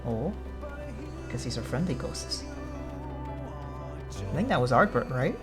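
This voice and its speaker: A man's voice: medium pitch, sing-song, unhurried, over music. A man streaming a game, giving casual, off-the-cuff reaction commentary.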